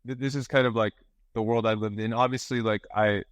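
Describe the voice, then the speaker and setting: A young man, a guest, telling his life story casually, with many pauses and fillers. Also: lowish voice, no echo. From grew up abroad in Russia, China and Uzbekistan. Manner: relaxed, hesitant, many fillers. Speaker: guest musician and entrepreneur.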